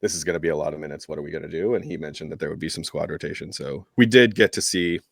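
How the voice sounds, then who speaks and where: A man with a low voice talks through sport as a podcast co-host. His delivery is casual and rambling, feeling its way through each point. The sound is close and dry. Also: rapid-fire, vocal fry, no echo. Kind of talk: casual sports chat, match recap.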